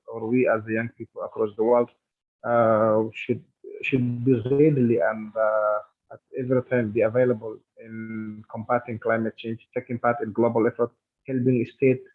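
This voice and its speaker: Halting Somali-accented man on thin phone-like line. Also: medium pitch. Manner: halting, formal. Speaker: government official and youth climate activist. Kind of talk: earnest speech-like remarks. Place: online virtual meeting. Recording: thin phone-like call audio.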